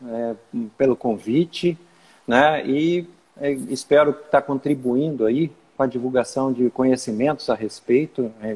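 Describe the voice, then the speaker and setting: A man in his fifties from Minas Gerais, a plant pathology researcher answering interview questions in a measured, hesitant way. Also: mid-range voice, darkish tone, breathy edge, in a large hall. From Minas Gerais, Brazil. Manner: measured, hesitant, many "é" and "né" fillers. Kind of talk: interview answers over video call.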